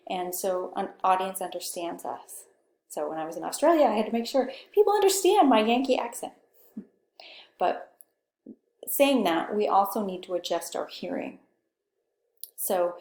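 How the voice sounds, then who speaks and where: A soft-spoken woman with a Pacific Northwest accent giving a conversational recorded course lecture, often checking in with "okay". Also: medium pitch, reverberant. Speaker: instructor.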